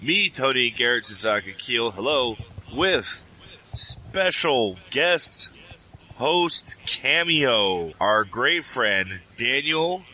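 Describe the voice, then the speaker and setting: A man's voice: medium pitch, very animated, slow pace, sharp tone, poor audio. A man hosting a casual podcast, teasing his co-hosts and laughing often. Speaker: podcast host.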